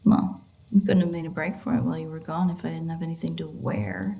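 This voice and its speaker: A woman playing a sardonic, predatory vampire in an intimate roleplay audio drama, with a husky, breathy voice and a playful, drawling delivery. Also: low voice, steady tone, quick pace, mellow. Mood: teasing, wry. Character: teasing, unrepentant female vampire, sardonic and predatory.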